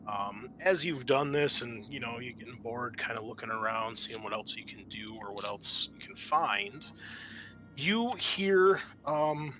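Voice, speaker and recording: A man narrating a tabletop game as game master, explaining things in a halting, improvised way. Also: mid-range voice, over music.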